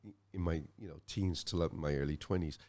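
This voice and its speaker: A middle-aged Irish man with a Dublin accent talks in a very low voice. He rambles through his stories in casual interview chat, often restarting a phrase midway. His tone is low and unhurried. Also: a little mellow. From Ireland. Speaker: guest musician.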